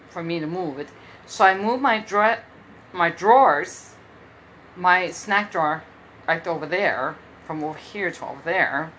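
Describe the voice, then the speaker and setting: A woman's voice: lowish voice, a bit bright, a little hiss or hum, rough recording. A woman in her early fifties hosting a casual solo podcast from her room at home, rambling and often repeating herself. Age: 50s. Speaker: podcast host.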